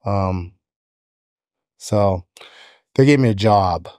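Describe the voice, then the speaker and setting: Low-pitched American man in his fifties. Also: drawn-out words, darkish tone, dry recording, studio quality. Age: 50s. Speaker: podcast guest, electrician. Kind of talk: casual personal storytelling. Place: indoor podcast studio. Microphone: close studio microphone on a stand.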